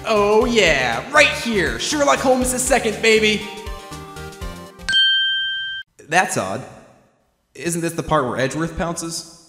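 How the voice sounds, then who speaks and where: A man's voice: high voice, sing-song, unhurried. A man voicing an earnest young defense lawyer with wry sarcasm in an amateur courtroom fan dub. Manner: emphatic, wry asides. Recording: music behind the voice.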